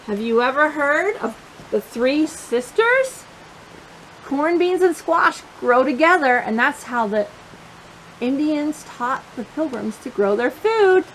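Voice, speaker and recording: A woman reading a picture book aloud for young children, expressive and encouraging, pausing to put questions to her listeners. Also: high voice, slight background noise, poor audio. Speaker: children's storytime reader.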